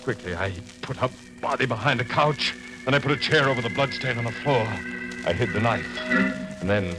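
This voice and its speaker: An actor playing a tormented, murderous husband in a dramatic, deliberate 1940s radio style, stammering when frightened. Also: male voice, mid-range voice, natural voice, low-quality recording. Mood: grim, confessional. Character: tormented murderous husband, coldly determined then panicking. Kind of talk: 1940s radio acting, first-person narration and dialogue. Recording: old radio recording with music behind.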